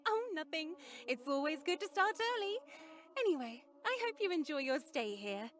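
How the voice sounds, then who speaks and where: A welcoming woman with a sly streak, acted by a woman for a visual novel. Her voice is high, airy and courteous, sweet on the surface. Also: very animated, moderate pace, fairly bright tone. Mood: sweetly wry. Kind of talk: visual novel character acting.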